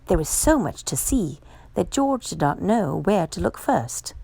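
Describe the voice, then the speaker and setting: Expressive woman reading a children's story, swooping intonation. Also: lowish voice, creaky, husky, poor audio. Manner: expressive, measured storytelling delivery. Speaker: storytime reader. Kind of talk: children's picture book read-aloud.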